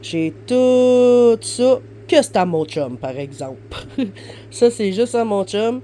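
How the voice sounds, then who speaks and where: Congested Québécois woman chatting slowly. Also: a little high, lively intonation, warm tone, a little hiss or hum. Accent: Québécois French. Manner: rambling, chatty, frequent fillers. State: stuffy and congested from the flu. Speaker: vlogger. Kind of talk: casual vlog chat. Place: her bedroom, lying in bed.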